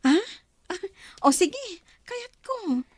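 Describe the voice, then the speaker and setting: A woman's voice: extremely high pitch, big pitch swings, drawn-out words, no echo, heavily acted. A woman playing an agreeable young girlfriend and big sister in a radio drama. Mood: curious, agreeable.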